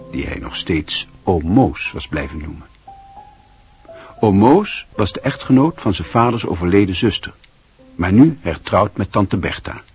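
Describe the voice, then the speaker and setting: A man narrating a radio drama in a measured, literary storytelling manner. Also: on the low side, a little mellow.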